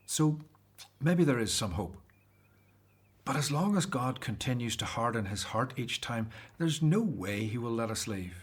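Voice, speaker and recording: A man acting in a radio drama as an earnest, devout Hebrew prophet and leader, speaking in a solemn, measured way. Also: medium pitch, slightly rough. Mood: weary, hopeful.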